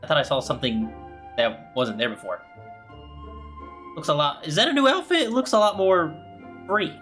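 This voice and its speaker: A man's voice: a little high, lively intonation, moderate pace, a bit bright, faint music behind. A man giving casual reaction commentary as he plays a video game. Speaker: game streamer.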